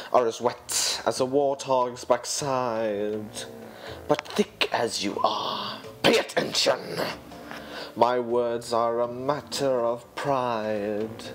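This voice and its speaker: A man's voice: medium pitch, fairly even intonation, lightly acted. Man singing as a pompous, scheming villain lion. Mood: sly, contemptuous. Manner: pompous, sneering, drawn-out theatrical delivery. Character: scheming, haughty male villain lion usurper. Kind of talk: theatrical villain musical song cover. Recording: amateur song cover over backing music.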